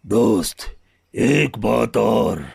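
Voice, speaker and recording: A man voicing a proud, wounded lion in a cartoon story, speaking solemnly and deliberately. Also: lowish voice, expressive, creaky, darkish tone, husky, no echo, full character voice. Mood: solemn, reproachful. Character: proud, wounded male lion, loyal friend turned stern. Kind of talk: scripted Hindi cartoon story dialogue. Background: background music.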